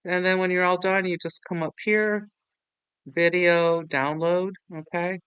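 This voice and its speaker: A man hosting a casual software walkthrough in an informal, rambling, self-deprecating way. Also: high-pitched, steady tone, a little slow, clear, resonant tone, dry recording. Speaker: tutorial host.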